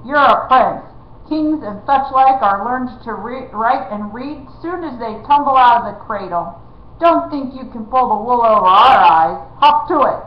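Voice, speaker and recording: A woman voicing a big, crafty, bullying male outlaw who talks loud and coarse. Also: a little high, lively intonation, unhurried. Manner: loud, coarse rustic talk. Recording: roomy, slightly dull recording.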